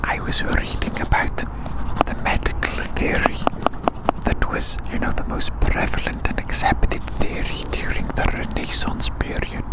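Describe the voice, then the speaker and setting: Guy whispering asmr, breathy no voice at all, soft rambly, repeats his phrases. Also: measured pace, poor audio. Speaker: ASMR creator.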